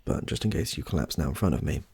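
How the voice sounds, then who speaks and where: A man acts out a courteous, slightly odd British therapist in an ASMR roleplay. The voice is deep and creaky, with a lot of melodic movement. He speaks gently and reassuringly, murmuring small approvals to the client. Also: lively intonation, fast, exaggerated voice. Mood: chatty, polite. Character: polite, chatty, slightly odd male therapist greeting a client. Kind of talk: soft-spoken ASMR roleplay.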